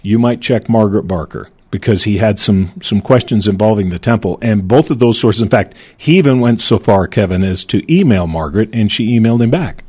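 Man, host, panel discussion, low voice, conversational storyteller. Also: expressive, brisk, hardly any pauses, warm tone, husky.

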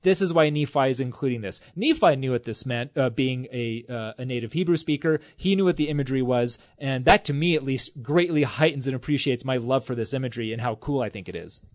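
A man's voice: medium pitch, brisk, few pauses, no echo, studio quality. A man in a casual scripture study discussion, talking with enthusiasm, rambling, with lots of fillers and restarts.

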